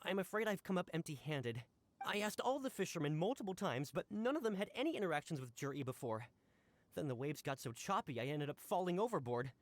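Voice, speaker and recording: A flustered, eager guard. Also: male voice, fairly high pitch, very animated, fairly quick. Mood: apologetic. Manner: earnest and apologetic. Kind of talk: game dialogue. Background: game music.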